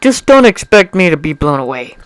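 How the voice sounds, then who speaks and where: Man acting blunt, reluctant pony, slightly high voice. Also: very animated, a little fast, clean tone, dry recording. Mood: firm, reluctant. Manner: firm, blunt, grumbling. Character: human turned pony, wry, reluctant, blunt narrator-hero.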